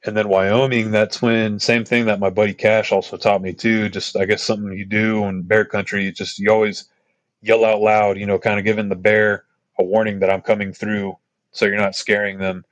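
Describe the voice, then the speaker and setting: A man's voice: flat delivery, moderate pace, no echo. A man from Texas, telling trail stories on a podcast in a rambling way, full of fillers and asides. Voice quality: deep voice. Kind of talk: casual storytelling.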